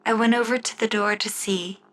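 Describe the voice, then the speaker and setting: A woman narrating a scripted first-person story in the style of an old-time radio show, with deliberate storytelling phrasing. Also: medium pitch, fairly even intonation, a bit bright, slight background noise, low-quality recording. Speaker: writer and narrator of an audio story.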